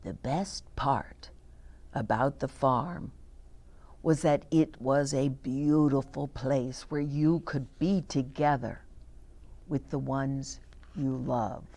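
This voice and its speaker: A woman voicing a tender, wistful grandmother from a storybook, speaking gently and reflectively to her grandson. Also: very deep voice, steady tone, takes it slowly, pitched below the performer's own voice, exaggerated voice.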